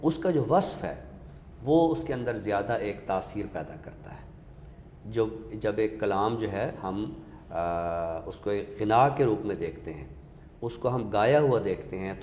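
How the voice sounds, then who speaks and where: A man with a Pakistani accent giving a deliberate, didactic explanation in a literary discussion, pausing for emphasis. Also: fairly low pitch, darkish tone. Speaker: literary scholar and guest speaker. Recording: echoey reverberant room with background noise.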